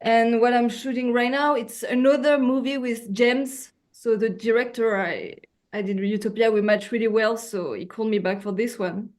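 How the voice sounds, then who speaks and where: A woman from France talks in English with a clear French accent, her voice sitting a little high. She is a guest actress on a video call, groping for words with many fillers and restarts. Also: steady tone, normal speed, a little mellow. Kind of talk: casual interview over video call.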